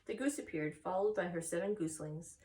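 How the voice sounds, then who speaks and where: A woman's voice: on the low side, a little fast, warm tone, distant mic. A woman reading a children's story aloud with expression as a story time narrator.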